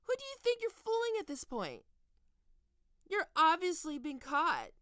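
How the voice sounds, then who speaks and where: A woman playing a stern, teasing goth college professor in an ASMR roleplay, speaking deliberately and condescendingly into a close microphone. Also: extremely high pitch, wildly swooping pitch, sharp tone, dry recording, exaggerated voice. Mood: smug, teasing. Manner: condescending, taunting, deliberate with pauses. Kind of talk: ASMR roleplay, scripted monologue to listener.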